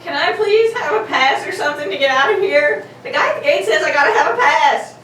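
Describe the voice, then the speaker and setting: Woman doing a young messenger boy, very high voice, quick and hurried, echoey room, comedic radio play. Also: animated, fairly bright tone. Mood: hurried, pleading. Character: breezy, hurried young telegraph delivery boy. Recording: very echoey room, distant mic, faint noise.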